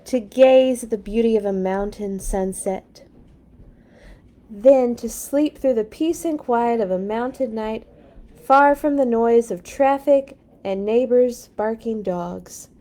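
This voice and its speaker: A woman's voice: on the high side, takes it slowly, warm tone, voice pushed up. A woman voicing an enthusiastic, confident father bear from a picture book, with a grand, wordy manner. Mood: dreamy boasting.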